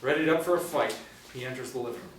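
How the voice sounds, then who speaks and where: A man's voice: mid-range voice, quick pace. A man in his forties giving scripted narration, reading out screen directions. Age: 40s. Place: small indoor room, seated group. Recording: roomy, distant mic with faint noise.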